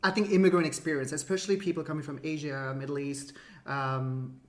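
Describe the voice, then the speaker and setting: A man's voice: a little high, steady tone, fairly quick. A man with a Canadian accent co-hosting a casual chat, talking in a rambling way and laughing as he speaks. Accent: Canadian English. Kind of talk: casual conversation.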